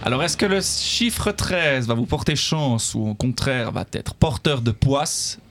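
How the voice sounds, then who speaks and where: A man's voice: mid-range voice, expressive, measured pace, a bit bright. A man hosting a live quiz game, reading out questions and bantering playfully with the contestants. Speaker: quiz game show host.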